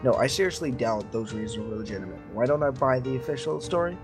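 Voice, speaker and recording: A man's voice: mid-range voice, lively intonation, fast talker, music in the background. A man reading a creepy story aloud to friends in an informal way. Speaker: story reader.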